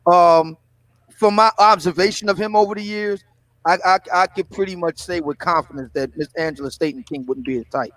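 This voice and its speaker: A man's voice: sits high, a little fast, fairly bright tone, low-quality recording. A man in his fifties with a Philadelphia accent, chatting casually and asking leading questions as a panel host. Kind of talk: casual livestream chat.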